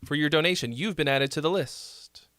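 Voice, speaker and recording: A man's voice: a little high, normal speed, a bit bright, no room sound, studio quality. A man with a nasal voice hosting a live song critique stream, rambling casually with lots of fillers and restarts. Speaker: music critique livestream host. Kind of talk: casual livestream song feedback.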